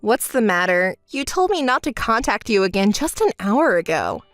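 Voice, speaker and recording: A woman's voice: medium pitch, very animated, moderate pace, fairly bright tone, no room sound, faint music behind, pitched below the performer's own voice. Woman voicing a cool, blunt young woman. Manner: level, dry, scolding. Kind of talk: dramatized story voice acting.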